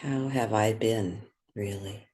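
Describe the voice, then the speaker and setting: A man guides a meditation at a very slow, unhurried pace. His voice is soft and breathy, and he leaves long pauses between phrases. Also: on the low side, steady tone.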